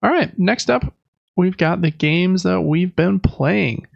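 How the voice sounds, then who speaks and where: A man's voice: high voice, lively intonation, slow, creaky, no echo, muffled recording. A man hosting a podcast, chatting informally and conversationally about games, close to the microphone. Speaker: podcast host. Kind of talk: casual gaming podcast chat.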